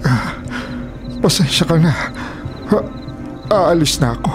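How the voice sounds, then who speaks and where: A woman's voice: low voice, expressive, unhurried, music in the background. A woman acting a humble, apologetic villager in a Filipino radio drama, meek and hesitant.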